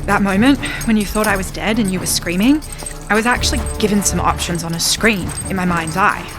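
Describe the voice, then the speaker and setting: A woman's voice: mid-range voice, fairly quick, natural voice. A woman playing a wise, self-assured talking poodle prophet in a comedic audio drama, speaking with grand, kindly earnestness. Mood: matter-of-fact. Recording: music behind.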